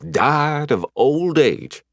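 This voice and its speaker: Casting: man as female high spirit, cheerful know-it-all guide, high lilting pitch, lively swings, storybook fantasy. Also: very slow, no room sound, clean studio sound, full character voice. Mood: explaining. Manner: chatty, explanatory, fond. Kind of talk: audiobook character voice.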